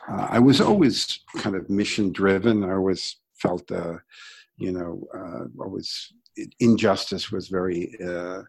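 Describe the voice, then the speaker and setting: A mature American man speaks in a low register with plenty of fry and a husky rasp. He answers as an interview guest, recounting things conversationally and reflectively, often pausing to search for the next phrase. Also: a little mellow. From Brooklyn, New York, United States. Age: middle-aged or older. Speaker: interview guest, sustainability entrepreneur.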